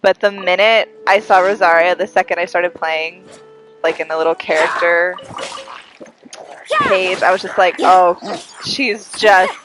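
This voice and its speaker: A young woman with a congested, breathy voice, giving casual, excitable live commentary as she streams a game. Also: on the low side, unhurried, background noise. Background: game music.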